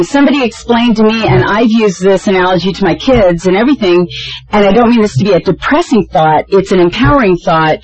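A woman's voice: medium pitch, darkish tone, lo-fi sound. Reassuring woman answering a question. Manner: reassuring, thoughtful, with fillers. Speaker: panelist answering an audience question. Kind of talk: conversational answer in a Q&A.

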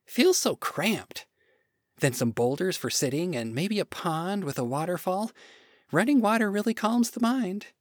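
Guy doing a snarky defiant woman in a story, picky n scornful, highish, swoopy. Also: expressive, measured pace, dry recording, clean studio sound. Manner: casual, scornful, complaining. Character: defiant, critical, sardonic first woman, Lilith. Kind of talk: short story read aloud.

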